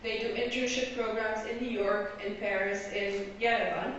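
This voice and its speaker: A woman's voice: medium pitch, steady tone, normal speed, a bit bright, slight background noise. An Egyptian woman giving an academic presentation as a graduate student, explaining her points and checking in with her listeners. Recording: echoey hall, distant lo-fi mic.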